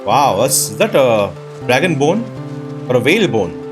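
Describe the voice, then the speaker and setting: A man's voice: mid-range voice, very animated, moderate pace. A man giving casual let's-play commentary as a gaming host, thinking aloud in short questions. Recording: music behind the voice. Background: game music.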